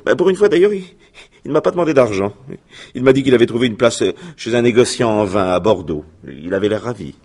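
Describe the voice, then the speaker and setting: An actor playing a haughty company director under questioning in a French radio crime drama, formal and disdainful with measured hesitations. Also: male voice, on the low side, lively intonation, darkish tone. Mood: guarded.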